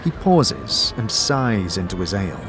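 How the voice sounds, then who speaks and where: A man's voice: on the low side, very animated, a little slow. A man narrating a fantasy audio drama in the second person, like a game master telling a story. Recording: music under the voice.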